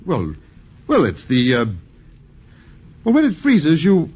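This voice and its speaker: Old-radio merchant: American, sly, slightly high, swooping delivery, husky, dull vintage recording. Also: male voice, wildly swooping pitch, slow pace, darkish tone, exaggerated voice. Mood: sly, coaxing. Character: shrewd, genial American ice merchant. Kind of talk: 1940s radio acting.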